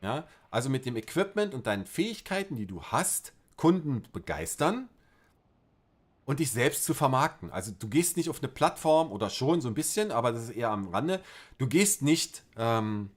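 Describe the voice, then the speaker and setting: A man's voice: mid-range voice, sing-song, moderate pace. A middle-aged man with a Berlin accent chatting casually on a livestream, rambling with many fillers and restarts. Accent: Berlin German. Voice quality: rambling, filler-heavy, colloquial. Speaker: livestream host.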